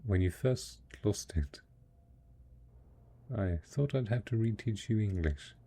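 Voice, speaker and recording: Man acting slow, husky, devoted apocalypse husband. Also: lowish voice, animated, dark tone, heavily acted. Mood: encouraging, fond. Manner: slow, coaxing, hesitant, talking as if to a child. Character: devoted, patient husband tending zombie spouse after apocalypse. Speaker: audio roleplay voice actor. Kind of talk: intimate scripted audio roleplay.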